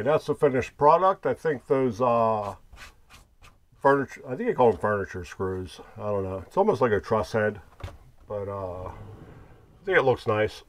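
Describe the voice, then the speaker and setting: A middle-aged man talks through a hands-on repair in a small RV bedroom in a plain, everyday voice of medium pitch. He recorded it on a phone held close, with a faint hiss behind him. He thinks aloud while he works. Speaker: RV owner showing a repair. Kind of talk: casual how-to narration.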